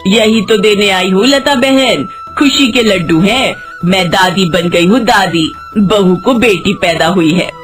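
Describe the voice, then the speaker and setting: A woman's voice: mid-range voice, unhurried, few pauses, close to an everyday voice. A woman voicing a boastful, prying older neighbour, a new grandmother, gossipy and drawing out her words in an acted story. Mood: gleeful. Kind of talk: Hindi moral story acting. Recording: music behind the voice.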